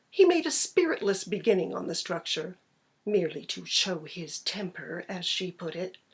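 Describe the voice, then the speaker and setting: A woman narrates a classic short story in a middle-pitched voice. There is a light huskiness to her tone as she reads the scripted prose aloud. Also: breathy edge.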